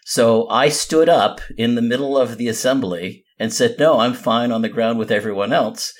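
A man's voice: mid-range voice, normal speed. A man from the United States chatting and bantering casually as a podcast co-host, telling anecdotes in an informal way. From American, spent part of boyhood in England.

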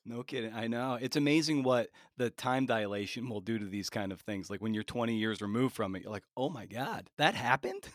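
A man's voice: mid-range voice, expressive, fairly quick, hardly any pauses, dry recording, studio quality. A man hosting a podcast interview in a casual, conversational style.

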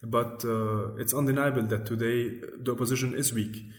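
A man, an Arabic speaker, giving measured, hesitant, analytical answers as a journalist being interviewed. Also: medium pitch, steady tone. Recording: echoey room.

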